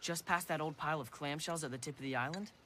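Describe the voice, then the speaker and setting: A male actor playing an earnest, sensible older boy in an animated film, plain-spoken and inquisitive. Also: on the high side, quick pace, slight background noise.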